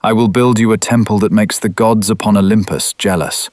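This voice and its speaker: An actor playing a cynical, cruel, scheming Roman patrician in an audio drama, with a mocking, theatrical delivery. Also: male voice, lowish voice, a little fast, darkish tone, dry recording, studio quality, natural voice. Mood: reverent ambition.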